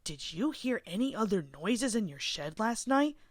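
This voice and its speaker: A woman's voice: mid-range voice, very animated, moderate pace, no echo, clean studio sound. A woman voicing an earnest young male tank engine in a storybook, brave but jittery and urgent, over music. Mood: dismissive, earnest. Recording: music under the voice.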